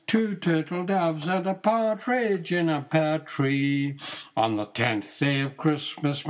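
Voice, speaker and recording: Slow, higher-pitched older man, singsong. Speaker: grandfather reading nursery rhymes. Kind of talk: singsong nursery rhyme recitation.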